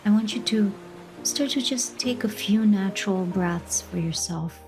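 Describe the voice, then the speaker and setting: A woman leading a guided meditation in a gentle, unhurried manner, pausing between short soothing phrases of instruction. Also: mid-range voice. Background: background music.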